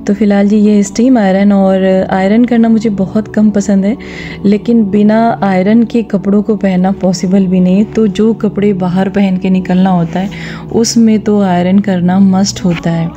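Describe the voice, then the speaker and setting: A woman's voice: mid-range voice, little pitch movement, normal speed, mellow, muffled recording, soft background music. A woman narrating a vlog, giving instructive advice directly to her viewers. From India. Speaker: vlogger. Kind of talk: vlog voice-over with gentle religious advice.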